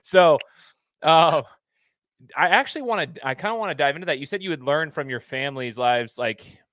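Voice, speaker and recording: A man's voice: a little high, brisk, dry recording. Chatty male host with a dry, close sound. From Idaho. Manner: chatty, animated, long winding questions. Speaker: podcast host. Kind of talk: casual interview.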